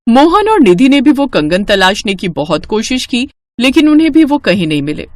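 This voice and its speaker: A woman reads a children's cartoon story aloud in a calm, scripted way. Her voice is on the high side and lively in pitch, recorded dry and close. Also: moderate pace, no room sound. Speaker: narrator.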